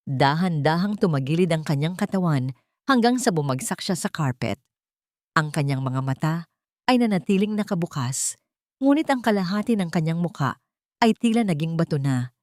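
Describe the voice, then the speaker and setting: A woman with a fairly low voice narrates a dramatic tale in a solemn, theatrical way. The sound is dry and close, with no room around it. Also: lively intonation, moderate pace, darkish tone, no echo. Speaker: audiobook narrator. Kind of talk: dramatic scripted story narration.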